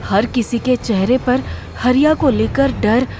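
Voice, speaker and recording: Woman, story narrator, high voice, unhurried, dramatic scripted reading, music behind.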